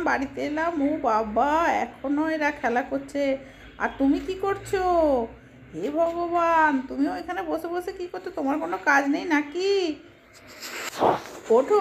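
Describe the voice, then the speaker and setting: Lady doing a bustling scolding village auntie for a doll story, high voice, noisy homemade recording. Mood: fussing. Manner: fussing, complaining, repetitive. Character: scolding, hard-working village housewife and mother, bustling and nagging.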